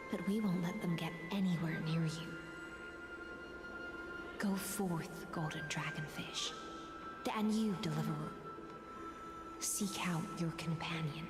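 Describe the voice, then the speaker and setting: An actress voicing a regal, fond and protective ocean songstress in a video game, in a stately, poetic manner. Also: female voice, lowish voice. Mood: solemn, protective. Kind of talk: dramatic video game voice acting. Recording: echoey long reverb, music behind.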